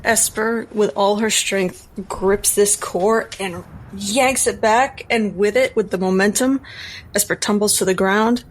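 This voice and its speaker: A woman's voice: mid-range voice, measured pace, low-quality recording. A woman playing a tabletop roleplaying game, narrating her character's actions in the third person.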